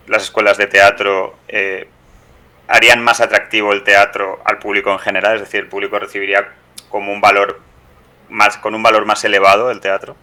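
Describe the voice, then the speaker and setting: Spanish guy from spain hosting a chatty podcast interview, really crisp bright tone, kinda low and flat, halting. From Teruel, Spain. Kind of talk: conversational interview.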